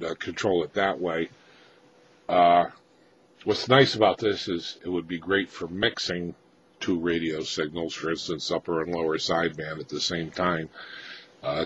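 A man with a low-pitched voice presents gear informally, like a hobbyist talking without a script. His phrasing is halting, with frequent pauses mid-sentence. The recording is dull and lo-fi, with faint noise behind him. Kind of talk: informal unscripted equipment walkthrough.

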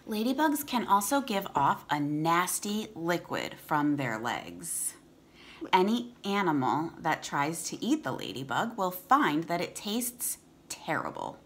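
A woman's voice: lowish voice, a bit bright. A woman in her thirties reading a children's picture book aloud as a teacher, enunciating for young listeners and explaining as she goes. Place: room in a home.

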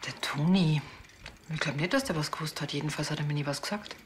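An actress playing a weary, frank farmer's widow in a TV crime comedy, subdued and plainspoken. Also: female voice, low voice, fast, slight background noise, lightly acted. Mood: resigned. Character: bereaved farmer's wife, weary, frank, resigned. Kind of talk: naturalistic TV crime comedy acting.

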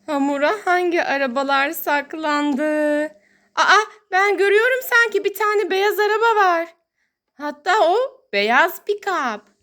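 A woman's voice: extremely high pitch, expressive, a little slow, a bit bright. A woman hosting toy play for young children as a mother, talking in a sing-song, exclaiming way and drawing out her words.

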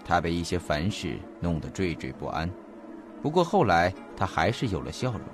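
A man acting in an audio drama as an earnest investigator, speaking in a formal, deliberate and detailed storytelling manner. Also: deep voice, big pitch swings. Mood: measured, grave. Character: earnest male investigator recounting a mysterious disappearance. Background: faint background music.

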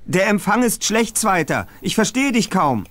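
A man's voice: high-pitched, big pitch swings, normal speed, fairly bright tone, a little hiss or hum. A man playing a brainy, self-assured teenage boy detective in an adventure game, with precise, formal diction. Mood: concerned. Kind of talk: German adventure game voice acting.

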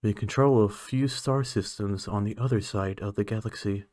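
A man playing an aloof, condescending alien scientist in an ASMR roleplay, speaking in a clinical, measured, haughty manner. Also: on the low side, darkish tone, dry recording, studio quality, close to an everyday voice. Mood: matter-of-fact, haughty. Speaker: voice actor.

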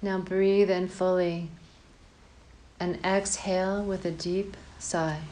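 Slow, even-toned woman guiding meditation. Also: lowish voice, slight background noise. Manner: calm, measured, pausing between short phrases. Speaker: guided meditation teacher. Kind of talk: guided meditation, slow instructions.

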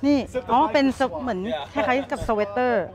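A young woman vlogging casually at an outdoor motorcycle swap meet, chatty and excited, talking into a handheld camera. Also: sits high, a little fast, crowd noise. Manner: chatty, excited, switching between Thai and English. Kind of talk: casual vlog chat.

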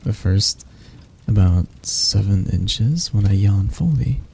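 A man playing a seductive, doting yet predatory castle monster in an intimate ASMR roleplay, close to the mic with a husky, gravelly voice and a slow, teasing manner. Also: fairly low pitch, expressive, full character voice. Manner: slow, teasing, coaxing, with soft chuckles. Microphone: close microphone.

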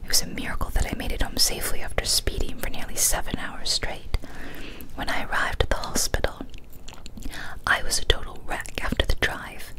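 A young woman reads a story aloud in a soft breathy ASMR whisper, hushed and deliberate, close to a studio microphone. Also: rough recording. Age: young adult. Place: small decorated room indoors. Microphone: large studio microphone up close.

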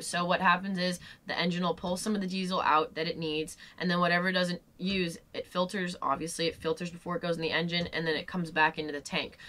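A woman's voice: fairly low pitch, pushed and bright. A young woman sitting in a boat cabin, casually explaining boat work step by step. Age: young adult. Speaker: sailing vlogger.

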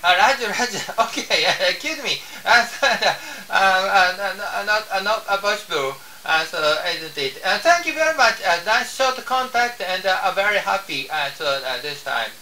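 A man from Japan with a Japanese accent, making a ham radio contact in halting, repetitive English and spelling out call signs. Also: sits high, very animated, pushed and bright, far from the mic. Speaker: amateur radio operator. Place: small home radio room. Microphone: desk radio microphone, camera picking up the room. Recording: roomy, off-mic, with faint hiss.

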